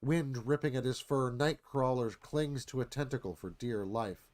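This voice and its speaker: A man's voice: mid-range voice, moderate pace, a little mellow. A man with a mid-range voice serves as narrator. He reads scripted stage directions and scene headings for a radio theatre performance.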